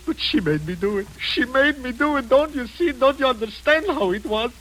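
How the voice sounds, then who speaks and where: A man's voice: very high-pitched, animated, moderate pace, fairly bright tone, a little hiss or hum, low-quality recording. An actor playing a weak, cowardly young man who pleads and whines, in 1940s radio acting on an old broadcast. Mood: tearful. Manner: pleading, whining and tearful. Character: weak, whimpering young man under his aunt's thumb.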